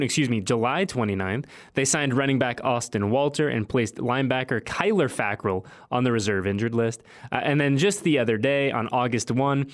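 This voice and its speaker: Upbeat, emphatic male sports podcast host. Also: medium pitch. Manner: animated, emphatic, repeats phrases for emphasis.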